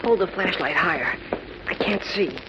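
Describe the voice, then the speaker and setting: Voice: medium pitch, lively intonation, normal speed, dull audio, close to an everyday voice. An actor playing an eager, nervous, wide-eyed boy cub reporter in 1940s radio style. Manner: wide-eyed, boyish slang. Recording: very noisy old radio recording.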